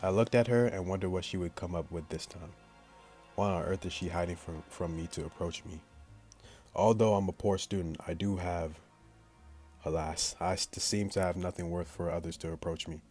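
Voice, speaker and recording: A man with a very low voice reads a visual novel aloud as a gaming let's play. His commentary is slangy and joking, and game music plays behind him. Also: normal speed, a little mellow. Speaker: gaming YouTuber.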